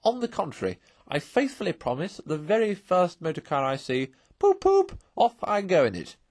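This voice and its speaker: A vain, defiant toad of a gentleman from a storybook, played by a man. He gushes and insists in a high, swooping voice, recorded close and dry. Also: huge pitch swings, moderate pace, no room sound. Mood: emphatic, gleeful. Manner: effusive, emphatic, impatient.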